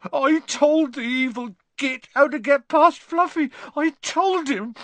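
A man voicing a huge, soft-hearted gamekeeper in an audiobook, gruff and rustic in manner, blurting words through tears of remorse. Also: extremely high pitch, measured pace, no room sound, pitched above the performer's own voice. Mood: sobbing, remorseful. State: crying.

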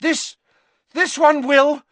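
A man's voice: very high-pitched, big pitch swings, takes it slowly, a bit bright, slightly rough, dry recording, voice pushed up, full character voice. A man voicing a desperate, frightened teenage boy wizard in an audiobook, urgent and breathless, with a cracking voice. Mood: urgent pleading. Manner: urgent, coaxing repetition.

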